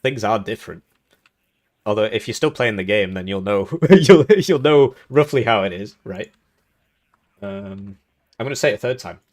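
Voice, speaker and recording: A man's voice: on the low side, expressive, moderate pace, pauses often, darkish tone. A young man hosting a live game tournament stream, talking casually with jokes and chuckles while commentating the match. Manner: rambling, joking, chuckling between remarks. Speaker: tournament host and caster.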